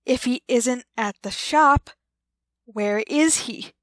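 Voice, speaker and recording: A woman's voice: a little high, takes it slowly, a bit bright, no room sound. An actress playing a bitter, jealous girlfriend with a curt, insistent manner in a retro radio drama. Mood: hurt, threatening. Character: bitter, jealous, hard-drinking girlfriend, threatening.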